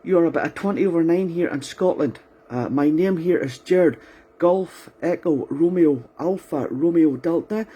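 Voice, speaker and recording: Husky-voiced man. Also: fairly high pitch, normal speed, warm tone. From County Antrim, Northern Ireland. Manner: methodical, spells out call signs phonetically and repeats them. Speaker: ham radio operator. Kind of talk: radio contact exchange.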